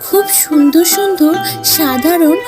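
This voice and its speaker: Casting: female narrator for kids' animated tales, high register, music underneath. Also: a little slow. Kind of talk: scripted children's story narration.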